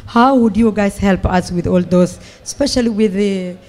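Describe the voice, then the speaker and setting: Audience member asking candidates a question, halting, plain middle voice. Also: medium pitch, darkish tone. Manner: earnest, halting. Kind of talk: public question. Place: public meeting hall.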